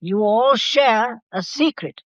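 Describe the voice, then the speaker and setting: Imperious old matriarch. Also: female voice, fairly high pitch, expressive, dry recording, lo-fi sound. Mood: weary, commanding. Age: older. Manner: measured, formal, commanding. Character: imperious old family matriarch, weary, shrewd, coolly composed. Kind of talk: period radio drama acting.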